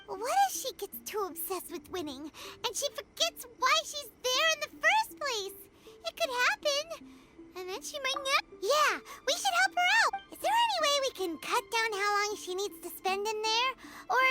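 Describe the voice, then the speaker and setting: A tiny, earnest fairy sidekick for a cartoonish video game. The voice is extremely high, childlike and squeaky, jumping up and down as it chatters and frets. Loud music runs underneath. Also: female voice. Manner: chattering, hesitant, exclamatory.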